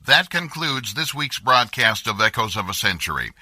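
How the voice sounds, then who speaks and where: A man's voice: lowish voice, big pitch swings, moderate pace, bright, forceful tone, no room sound. A man hosting a radio programme, reading a scripted sign-off in a studio.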